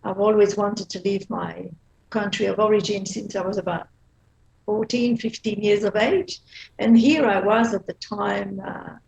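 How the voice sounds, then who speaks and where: A woman in her fifties from Belgium with a Belgian accent, a guest in a casual interview who talks in a lively way, laughs often and restarts her sentences. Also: medium pitch, measured pace, creaky, low-quality recording.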